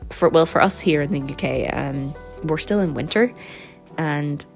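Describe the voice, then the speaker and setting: A woman chatting casually in a vlog, her voice slightly hoarse and croaky, rambling in a relaxed way with frequent ums. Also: low voice, moderate pace, faint music behind. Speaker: vlogger and sticker and journal maker.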